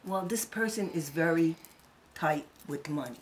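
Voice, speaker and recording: Lower-voiced chatty woman guest. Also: unhurried, a little hiss or hum. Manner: chatty, conversational, frequent fillers. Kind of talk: casual spiritual chat.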